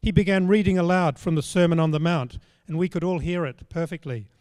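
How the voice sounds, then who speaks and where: An older man preaching a sermon on a church stage into a handheld microphone, in a measured storytelling manner. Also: fairly high pitch, fairly even intonation, a little mellow, dry recording, in a large hall. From Australia. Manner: measured, storytelling, plain spoken.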